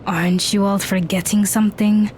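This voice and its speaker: A woman's voice: mid-range voice, level tone, moderate pace, a little mellow, slight background noise, natural voice. A woman playing an aloof, critical young woman in an audio drama, with a dry, dismissive manner. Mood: skeptical.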